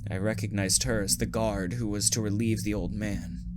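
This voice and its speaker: A man's voice: lowish voice, fairly even intonation, normal speed, noisy background, lightly acted. A man playing a nervous condemned poet on the run in an audio drama, sometimes hesitant and stammering. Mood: tense dread. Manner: hesitant, stammering when speaking to others. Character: nervous condemned poet fleeing prison, narrating his dread. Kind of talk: first-person audio drama narration and dialogue. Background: music.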